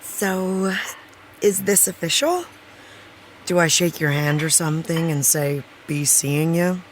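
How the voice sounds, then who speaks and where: Wry, unhurried, lowish-voiced woman. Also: a little hiss or hum. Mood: encouraging, surprised. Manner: dry, teasing, frank. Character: wry, candid actress, supportive friend and colleague. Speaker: actor in an audio drama. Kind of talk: naturalistic audio drama dialogue.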